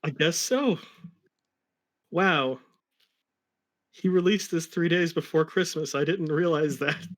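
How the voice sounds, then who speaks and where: A man's voice: fairly high pitch, slow pace, pauses often, fairly bright tone. A man reading fan fiction aloud dramatically and chatting casually about it. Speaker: story reader.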